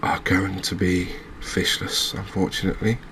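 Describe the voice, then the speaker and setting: A man giving gentle, unhurried ASMR commentary over a cozy fishing game, with hesitant, understated phrasing. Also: low-pitched, level tone, a little hiss or hum, rough recording. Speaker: host, video game streamer.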